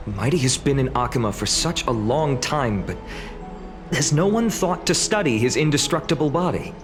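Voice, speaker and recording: An actor playing an earnest, scholarly man in a video game, speaking deliberately. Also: mid-range voice, lightly acted. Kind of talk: dramatic video game voice acting.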